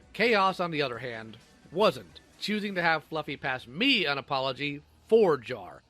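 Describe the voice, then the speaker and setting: A man's voice: a little high, pushed and bright. A man's synthetic robot voice reading a scripted, sardonic narration. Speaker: video creator responding to allegations.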